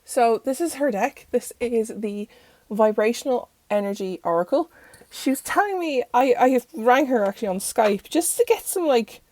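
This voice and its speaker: A young Irish woman talks through a review in a loose, rambling way, her voice croaky as she gets over being sick. Her voice sits a little high for a woman, and the sound is close and dry with no room echo. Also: lively intonation, normal speed, darkish tone. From Ireland. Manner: chatty and rambling, self-interrupting. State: croaky, recovering from illness. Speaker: tarot channel host. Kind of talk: casual deck review. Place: bedroom at home.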